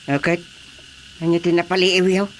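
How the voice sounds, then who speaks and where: Gruff male village chairman. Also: sits high, level tone, normal speed, a bit bright, a little hiss or hum, poor audio. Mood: questioning, businesslike. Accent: Ilocano. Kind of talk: Ilocano radio drama comedy acting.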